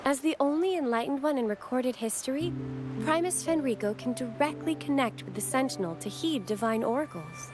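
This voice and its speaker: High-voiced, devout acolyte, music behind. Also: female voice, animated, fairly quick. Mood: polite, helpful. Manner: polite, formal, reverent. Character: devout, courteous young woman acolyte of a religious order. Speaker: temple acolyte guide. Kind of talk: scripted video game dialogue, reverent exposition. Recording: game music under the voice. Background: game background music.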